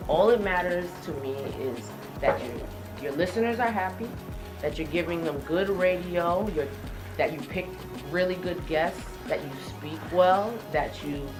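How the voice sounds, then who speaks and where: A young woman with a deep-set voice speaks conversationally to young students, encouraging and emphatic. She sits a little away from the microphone in a room, with music clearly audible behind her. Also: unhurried. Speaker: radio host being interviewed. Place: indoors in a room. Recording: distant mic, music behind.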